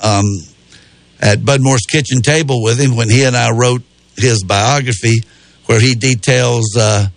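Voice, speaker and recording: A man hosting a casual radio talk show, rambling and folksy, with a slightly raspy voice from a cold. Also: mid-range voice, steady tone, unhurried, dry recording. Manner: rambling, folksy, self-correcting.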